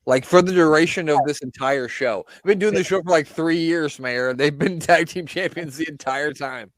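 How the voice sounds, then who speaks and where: A man's voice: on the high side, moderate pace, no room sound. A man co-hosting a casual podcast, joking and laughing as he chats over an old wrestling broadcast. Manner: joking, laughs often, banters. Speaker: podcast co-host.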